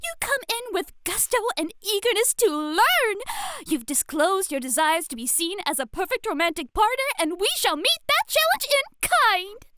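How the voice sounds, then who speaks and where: A woman's voice: very high-pitched, sing-song, normal speed, pushed and bright, no room sound, studio quality, full character voice. A woman voicing an exuberant, refined etiquette teacher in an ASMR roleplay, with theatrical, gushing delivery and elegant, formal diction. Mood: excited, gushing.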